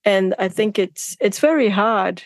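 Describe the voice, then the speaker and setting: A woman's voice: mid-range voice, unhurried, no echo, clean studio sound. A woman hosting a casual interview, encouraging her guest. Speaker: podcast host.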